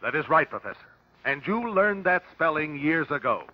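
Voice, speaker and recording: An actor playing a shrewd, relentless detective at an inquest, measured and authoritative, in vintage radio drama style. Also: male voice, a little high, very animated. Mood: pressing, accusing. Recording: dull vintage radio broadcast recording.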